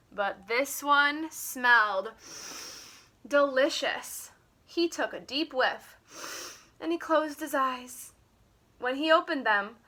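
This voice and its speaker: Slow, animated woman reading a storybook. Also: high voice. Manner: animated, deliberate. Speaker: teacher reading a picture book aloud. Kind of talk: expressive children's story reading.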